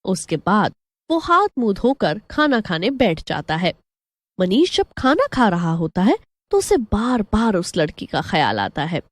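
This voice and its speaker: A woman's voice: medium pitch, very animated, measured pace, no echo. A woman narrating a scripted story as the storyteller.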